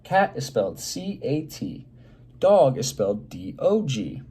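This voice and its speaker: A man voicing a patient but firm woman schoolteacher in a children's storybook, instructing and gently correcting. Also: medium pitch, big pitch swings, measured pace, breathy edge, exaggerated voice. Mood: patient, welcoming.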